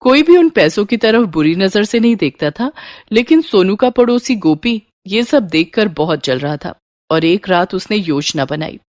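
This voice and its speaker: A woman's voice: mid-range voice, lively intonation, moderate pace, a little mellow, dry recording, studio quality. A woman narrating a scripted moral story as a storyteller.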